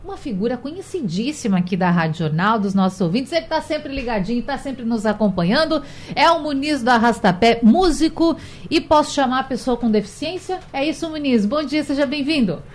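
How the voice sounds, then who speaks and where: A woman's voice: high voice, expressive, brisk. A woman hosting a radio talk show in a studio, welcoming guests and steering the debate in a conversational way. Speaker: radio host.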